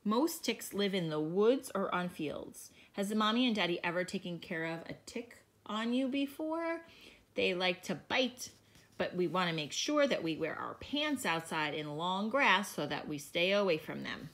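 A woman teacher reading a picture book aloud to young children, explaining each page in a gentle way. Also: fairly low pitch, animated, normal speed.

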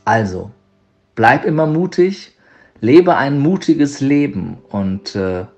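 A man giving an earnest, emphatic motivational talk as a coach, addressing the listener directly with deliberate phrasing. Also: medium pitch, sing-song, a little mellow. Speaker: motivational coach, podcast guest. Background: background music.

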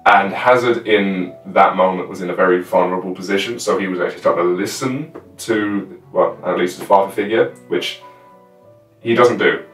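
A man in his twenties casually recounting a scene in a halting, informal way. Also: low voice, fairly bright tone. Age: 20s. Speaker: guest, fellow live roleplayer. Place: small room at home with costumes. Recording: roomy echo, distant microphone, music underneath.

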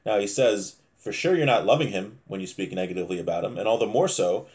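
A man's voice: lowish voice, fast talker, darkish tone. A man in his thirties giving an informal religious lesson, reading Hebrew phrases and explaining them in English, often repeating and rephrasing as he goes. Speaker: religious teacher. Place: small home room, indoors.

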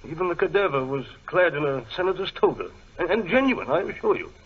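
A dull old radio recording of a British gentleman archaeologist. He is nervous and fussy, stammering and repeating himself in a husky, fairly high voice. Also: animated, quick pace. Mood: agitated. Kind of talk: 1940s radio acting.

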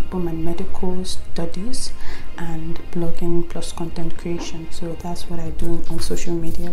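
A woman's voice: lowish voice, low-quality recording. A woman in her twenties with a Nigerian accent, talking casually to camera in a get-to-know-me vlog, often adding "okay?" and "right?". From Imo State, Nigeria. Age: 20s. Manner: chatty, animated hand gestures, tag questions like "okay?" and "right?". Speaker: vlogger. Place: indoor room before a plain wall.

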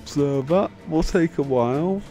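A young man gives casual, self-deprecating commentary on a game, wandering from thought to thought. His voice is mellow and fried at the edges, tired from hours of talking. Music plays faintly behind him. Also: on the high side, unhurried. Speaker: video game let's player. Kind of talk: casual rambling gameplay commentary. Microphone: microphone, voice-over on game video. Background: game end-credits music.

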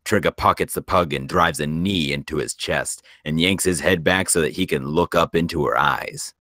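A man's voice: very deep voice, normal speed, sharp tone, no room sound, studio quality. A man reading screenplay stage directions as scripted narration for a table read.

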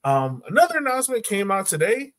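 An American man hosts a relaxed sports show over a video call from home. His voice sits a bit high, and he talks in a joking, opinionated style. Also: fast talker, slight background noise. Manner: jokey, opinionated. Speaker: podcast host. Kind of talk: casual sports chat. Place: room at home.

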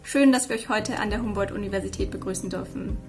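A woman's voice: mid-range voice, fast talker. A woman aged twenty-nine, a student giving a prepared self-introduction to camera. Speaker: scholarship student. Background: background music.

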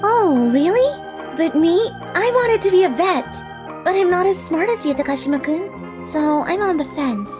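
A woman playing a friendly, gentle junior high school girl in an animated manga story. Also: moderate pace, over music. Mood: sweet, cheerful.

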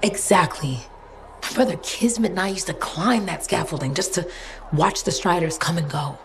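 A woman's voice: lowish voice, lively intonation, moderate pace. An actress playing a cranky, nostalgic townswoman in a video game, talking in a wry, plainspoken way. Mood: wistful. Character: cranky, nostalgic townswoman stuck outside fort gates. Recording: game audio with clear ambient noise.

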